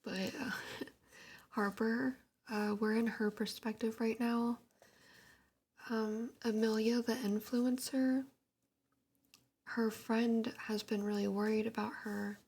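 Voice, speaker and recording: A woman whispering in a breathy voice, slowly reading a book aloud ASMR-style and chatting softly, close to the mic. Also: a little high, little pitch movement. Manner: soft, slow, reads aloud while greeting chat. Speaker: ASMR streamer.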